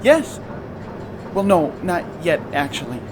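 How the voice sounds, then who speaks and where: A man's voice: on the high side, very animated, a little slow, over music. A man playing a nervous, eager young would-be scientist, hesitant in manner, in an audio drama. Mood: deflated.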